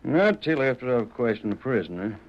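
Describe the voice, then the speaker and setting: A man's voice: mid-range voice, very animated, a little fast. An actor playing a corrupt, bossy Border Patrol man in a Western radio drama, talking in curt, domineering frontier speech. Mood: curt, scheming. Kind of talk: 1930s-40s Western radio acting. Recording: muffled old radio recording.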